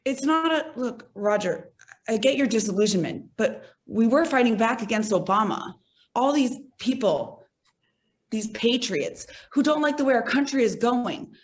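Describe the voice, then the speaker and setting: A woman's voice: a little high, expressive, moderate pace, natural voice. A woman playing an earnest, exasperated conspiracy believer with a reproachful manner in a one-act play. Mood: earnest, urgent.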